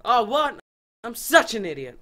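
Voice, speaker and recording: Bright, swooping, scheming little schoolboy villain, acted out. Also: mid-range voice, sing-song, a little slow. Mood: fake friendly. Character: scheming, gloating small bespectacled schoolboy villain. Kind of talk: anime parody dub.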